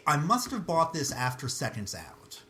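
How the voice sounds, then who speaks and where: A man's voice: a little high, huge pitch swings, normal speed, bright, forceful tone, slight background noise. Chatty man hosting a podcast. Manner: laughs often, leads the talk with questions. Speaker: podcast host. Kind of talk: casual chat.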